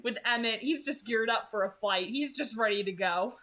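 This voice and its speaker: A woman in her twenties giving casual, excited commentary as a read-aloud host, enthusiastic and emphatic. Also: a little high, normal speed. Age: 20s.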